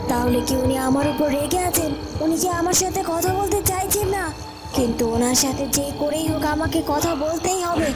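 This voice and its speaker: Over loud music, a high-voiced cartoon witch. She frets and questions herself, then begs like an anxious disciple before her master, in melodramatic storybook fashion. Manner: pleading, fretful, asking herself questions. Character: pleading, anxious old witch, disciple of a tantric. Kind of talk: melodramatic Bengali cartoon voice acting.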